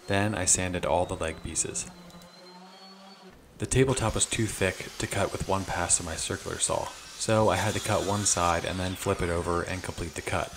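A man's voice: deep voice, fairly even intonation, moderate pace, background noise. A young man narrating his woodworking project in a plain, matter-of-fact voice-over, step by step. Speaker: DIY woodworking channel host.

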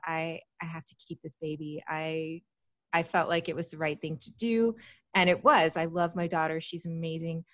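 A woman's voice: lowish voice, a bit bright, no room sound. A woman in her thirties from Texas, telling her life story openly as a podcast guest, with fillers and small laughs. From Texas, United States. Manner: chatty and open, with frequent fillers and small laughs. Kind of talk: candid personal storytelling in an interview. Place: small room at home, indoors. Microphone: large studio mic with foam cover, close.

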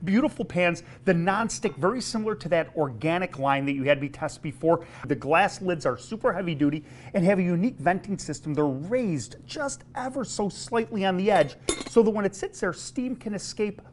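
A man's voice: sits high, expressive, normal speed, a little hiss or hum. A middle-aged man, a chef, reviewing cookware to camera in a friendly, enthusiastic way. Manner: enthusiastic, friendly, demonstrating pans. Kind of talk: casual product review to camera. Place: commercial kitchen.

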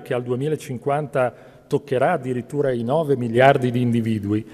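A man's voice: medium pitch, a little mellow. A middle-aged man giving a formal policy speech at a podium, emphatic and stressing key figures. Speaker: government minister. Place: large ornate state hall. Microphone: podium microphones. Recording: long hall reverb.

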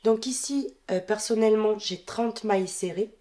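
A woman's voice: medium pitch, moderate pace. Woman with a head cold, counting stitches. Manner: calm, counting stitches aloud while working. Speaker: crochet tutorial host. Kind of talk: step-by-step crochet instruction. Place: indoors at a wooden table.

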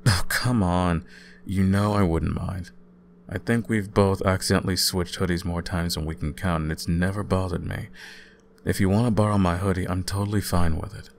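A young man playing an easygoing, caring student roommate in an intimate ASMR audio roleplay, talking casually with gentle teasing. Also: deep voice, steady tone, normal speed, creaky, a little hiss or hum. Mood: gentle, reassuring. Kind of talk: intimate ASMR boyfriend-style roleplay, conversational acting.

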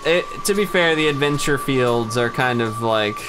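A man chats casually as a let's play co-host. His pitch sits in the middle range for a man, and game music plays clearly behind him. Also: lively intonation, moderate pace. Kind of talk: casual gameplay chat.

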